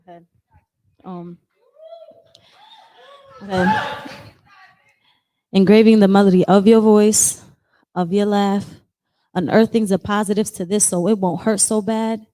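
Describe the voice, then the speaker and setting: A woman with a high, girlish voice, speaking softly and emotionally as she shares a personal story and reads a poem. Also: fairly even intonation, unhurried, pauses often, no room sound. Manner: soft-spoken, emotional, apologetic. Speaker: poet sharing at an open discussion. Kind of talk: personal sharing and poetry reading.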